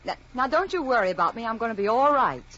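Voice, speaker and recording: An actress playing an earnest, emotional wife in a melodramatic radio drama. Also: female voice, on the high side, big pitch swings, fast, a bit bright, muffled recording. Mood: worried, reassuring. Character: anxious, loving pregnant wife, later sad and reflective narrator. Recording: vintage radio drama with music behind.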